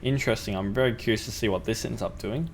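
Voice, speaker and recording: A man's voice: lowish voice, quick pace, slight background noise. A young man with a sore throat, casually talking through a factory-building game as the channel's host, thinking aloud with ums. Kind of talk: gameplay commentary.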